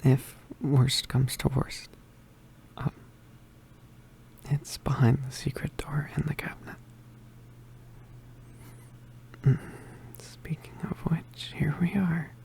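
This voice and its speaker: A performer voicing an injured, poisoned young male witch in an ASMR roleplay, weak and apologetic, mostly whispering in halting, pained breaths. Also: mid-range voice, fairly even intonation, a little mellow. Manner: halting, pained, with sighs and throat clearing.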